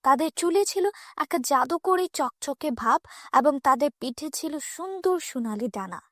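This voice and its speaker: Lady narrator reading a kids fairy tale, high voice, dry studio-like sound. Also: expressive, normal speed, fairly bright tone, no echo.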